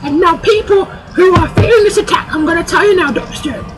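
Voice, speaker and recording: A performer voicing a loud, sassy older woman guest on a comic talk show, rambling and calling everyone "child". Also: very high-pitched, a little fast, over music, poor audio. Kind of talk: comic sketch.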